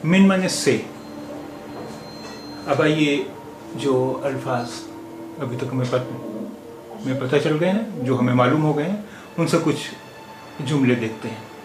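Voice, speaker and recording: A man giving a language lesson as a teacher, repeating phrases deliberately in a didactic way. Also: mid-range voice, over music. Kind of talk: spoken language lesson with slow repetition. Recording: echoey room with clear background noise.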